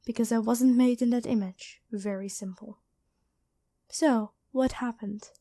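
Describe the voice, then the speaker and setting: A woman playing a gentle, dutiful angel medic in an ASMR roleplay, speaking soothingly and caringly to one listener. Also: a little high, fairly even intonation, measured pace. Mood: earnest, disapproving.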